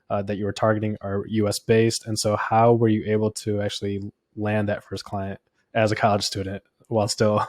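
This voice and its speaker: Even-toned male podcast host, dry sound. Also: lowish voice, no room sound. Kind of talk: podcast introduction and interview questions.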